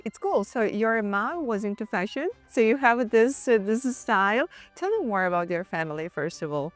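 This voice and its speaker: A woman hosting an interview, chatty and quick to react to what her guest says. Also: medium pitch, sing-song, dry recording, soft background music. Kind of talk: friendly interview.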